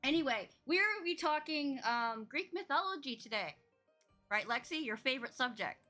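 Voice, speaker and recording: A woman's voice: high voice, expressive, moderate pace, bright, forceful tone, faint music behind. A woman hosting a casual podcast chat, bubbly and teasing, laughing often. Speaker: podcast host.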